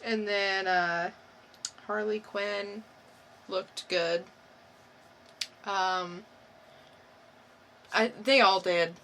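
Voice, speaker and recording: A woman's voice: medium pitch, steady tone, drawn-out words, long pauses, pushed and bright, slight background noise. A woman co-hosting a podcast in casual, informal chat, often in short replies. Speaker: podcast co-host.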